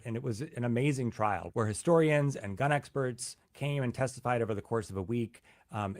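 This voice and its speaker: Brief: male news reporter, mid pitch, dry close mic, halting restarts. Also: no room sound. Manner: halting, repeats words at starts. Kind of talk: news interview, reporter explaining.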